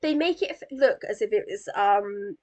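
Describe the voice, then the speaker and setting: A woman's voice: medium pitch, animated, normal speed, a little mellow. A woman co-hosting a review podcast, chatting casually and repeating words as she works out her point. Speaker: podcast co-host.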